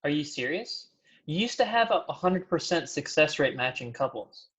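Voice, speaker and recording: A man's voice: a little high, sing-song, moderate pace, a bit bright, husky, exaggerated voice. A man acting a stern, blunt thirty-year-old efficiency expert in a comedy table read, scolding in a dry manner. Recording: dull, lo-fi sound.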